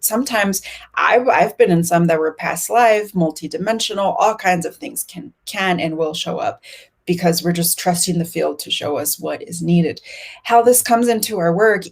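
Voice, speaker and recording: A woman whose first language is Spanish, a healing facilitator speaking in thoughtful, searching phrases with restarts. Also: lowish voice. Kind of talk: reflective conversation. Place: Mexico.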